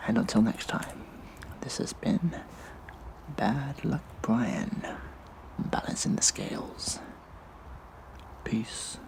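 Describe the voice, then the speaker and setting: A hushed, heavily creaking hacktivist vigilante, acted by a man for an audio drama. He drawls slowly and breathily with smug, mock-casual menace, swinging his pitch around. Keyboard typing and noise sit behind him. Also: animated. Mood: smug farewell. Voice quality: breathy, whispery, gravelly creak. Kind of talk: audio drama monologue, addressed to viewers.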